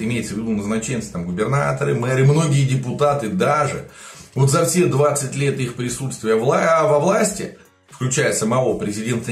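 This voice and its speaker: Indignant man in his forties, roomy sound. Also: medium pitch. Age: 40s. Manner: indignant, animated, gestures a lot. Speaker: political video blogger. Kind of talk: emotional political commentary. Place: home studio room with plants.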